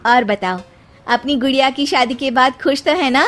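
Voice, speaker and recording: A woman voicing a gentle, wistful married woman visiting her childhood home in a cartoon story. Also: high voice, moderate pace, pitched above the performer's own voice. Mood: polite, sad.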